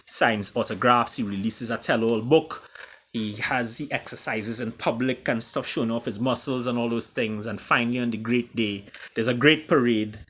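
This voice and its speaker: Guy storyteller reading his fable, bright punchy voice, big dramatic pauses. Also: medium pitch. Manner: dramatic pauses and emphatic delivery. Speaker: author reading his own book.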